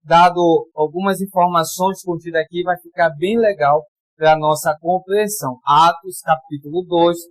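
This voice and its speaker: A man from Pará, Brazil, preaching a sermon in a conversational way, calling for amens and reading scripture slowly with emphasis. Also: on the high side, steady tone, no room sound, poor audio. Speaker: pastor.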